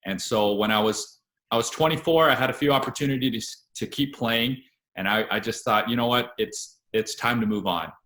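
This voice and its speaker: Relaxed young man in a roomy echo. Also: mid-range voice, normal speed. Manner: relaxed, steady storytelling with fillers. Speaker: guest actor and writer. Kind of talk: casual interview chat.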